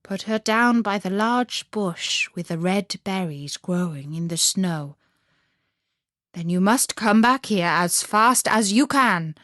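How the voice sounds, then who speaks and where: A woman's voice: mid-range voice, expressive, slow pace, fairly bright tone, dry recording, clean studio sound. A woman storyteller voicing a wise, frank northern woman from a fairy tale, speaking emphatically and earnestly. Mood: earnest, instructing.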